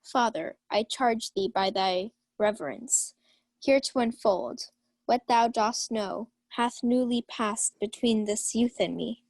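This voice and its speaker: High-voiced young woman as a proud Countess. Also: takes it slowly, darkish tone, no room sound. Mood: insistent. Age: young adult. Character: proud, passionate young noblewoman, a Countess in love. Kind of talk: 1940s radio play Shakespeare acting.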